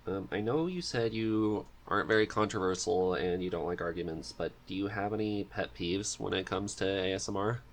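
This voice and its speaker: A man's voice: low voice, moderate pace, few pauses. A man hosting a podcast in a casual, chatty interview style. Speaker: podcast host.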